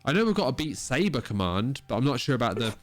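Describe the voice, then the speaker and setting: Dry-teasing young man, mid-pitched voice. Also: sing-song, fast, faint music behind. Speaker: podcast co-host. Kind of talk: casual banter.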